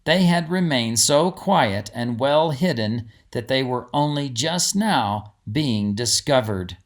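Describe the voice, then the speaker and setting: A male audiobook narrator reads a storybook with expression. His mid-pitched voice rises and falls in a lively way as he tells the tale. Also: slow.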